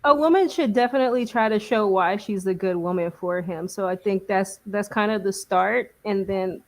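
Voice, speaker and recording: A woman's voice: mid-range voice, moderate pace. A woman speaks as a guest on a casual podcast panel. She answers hesitantly and hedges often. She uses plenty of fillers. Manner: hesitant, filler-heavy, laughing. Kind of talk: casual discussion.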